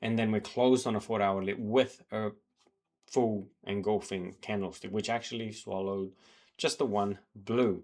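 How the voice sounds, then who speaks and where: Low-voiced man, rambling trading vlog. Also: gravelly. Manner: rambling with frequent fillers and restarts. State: slightly sick. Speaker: trading journal vlogger. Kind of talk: casual talk to camera, reviewing charts. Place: home in New Zealand.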